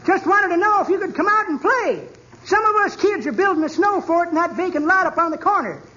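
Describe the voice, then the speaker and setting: A woman's voice: expressive, a little fast, bright, forceful tone, lo-fi sound, heavily acted. A woman playing a cheeky little boy who tells corny jokes in a rustic drawl, in a 1940s radio comedy. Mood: pleading. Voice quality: high squeaky boyish voice. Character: cheeky little boy, mischievous know-it-all kid. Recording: noisy old radio broadcast recording.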